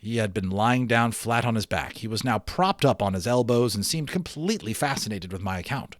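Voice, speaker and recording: A man's voice: on the low side, brisk, no room sound, studio quality. A man giving a dramatic storybook read-aloud of a children's novel, with theatrical, emphatic phrasing.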